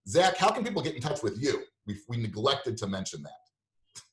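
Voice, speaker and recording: A man's voice: medium pitch, big pitch swings, moderate pace, roomy sound. A man hosting a show and interviewing a guest in a conversational, informal, slightly rambling way.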